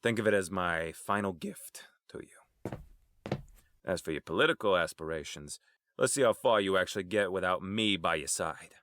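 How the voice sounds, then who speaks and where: An actor playing a bitter, coldly menacing crime boss in an audio drama, with a sardonic manner. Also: male voice, low-pitched, normal speed, fairly bright tone, a little hiss or hum.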